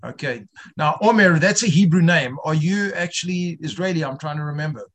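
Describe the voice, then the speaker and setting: A man teaching an informal online religious class, putting questions to his students in a halting way, often repeating himself. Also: a little high, fairly bright tone.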